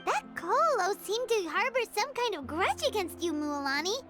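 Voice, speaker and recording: A woman's voice: extremely high pitch, expressive, moderate pace, music in the background. A woman voicing a tiny, childlike, chatty fairy companion in a video game, speaking of herself in the third person. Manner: refers to self in third person.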